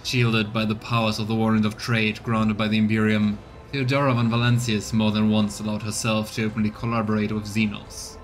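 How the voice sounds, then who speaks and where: A man's voice: lowish voice, level tone, brisk, few pauses, faint music behind, natural voice. Man acting a stern, accusing interrogator. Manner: formal, accusatory. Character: stern male inquisitorial interrogator, accusing, contemptuous, formal.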